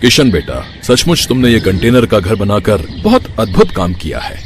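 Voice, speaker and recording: A man voicing a pious, charitable wealthy village merchant in a cartoon, gracious and deliberate. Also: fairly low pitch, very animated, slight background noise. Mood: admiring.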